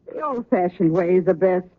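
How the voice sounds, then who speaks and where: A woman's voice: fairly low pitch, no echo, narrow, tinny audio. An actress playing an older, clinging and belittling mother in 1970s radio drama style. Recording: thin, band-limited old radio broadcast.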